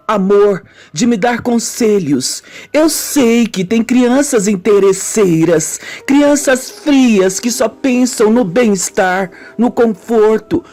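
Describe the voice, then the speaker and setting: A woman with a Brazilian accent playing a wounded, brooding woman confessing her childhood in a melodramatic, deliberate radio soap style. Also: mid-range voice, a little mellow. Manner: slow, melodramatic, deliberate storytelling. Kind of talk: amateur radio soap acting. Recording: music bed under the voice.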